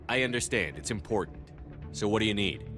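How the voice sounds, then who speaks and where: An actor playing a steady, resolute space navy commander in a video game, terse and businesslike. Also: male voice, on the low side, a little fast, music in the background, natural voice. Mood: firm.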